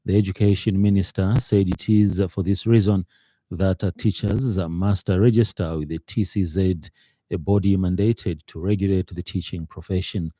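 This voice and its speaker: A man with a Zambian accent reading a scripted radio news bulletin in a measured, formal, slightly hesitant way. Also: low voice, fairly even intonation, dark tone, dry recording. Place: radio studio.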